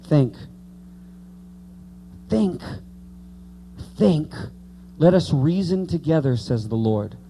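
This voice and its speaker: Guy preaching an informal sermon, pretty high mellow voice, repeats stuff to drive it home, chatty with the congregation. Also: animated, drawn-out words, vocal fry, pauses often, a little hiss or hum. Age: late 30s. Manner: emphatic, repeats key words, conversational asides to congregation.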